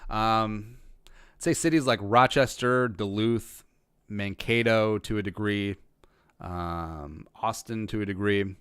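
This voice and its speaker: A man's voice: on the low side, takes it slowly, fairly bright tone, clean tone, no room sound. A man from rural Minnesota hosting a casual livestream, reading viewer questions and musing through them with frequent restarts and dry humor. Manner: rambling, restarts often, dry self-aware humor. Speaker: podcast host answering live chat.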